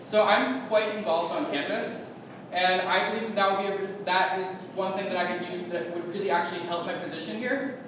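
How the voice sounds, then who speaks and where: A man's voice: high voice, fairly quick. A young man giving an ad-libbed campaign speech as a speaker candidate, rambling and correcting himself with a wry edge. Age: young adult. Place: large echoey meeting room. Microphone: distant room mic. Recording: echoey hall from far off, noisy.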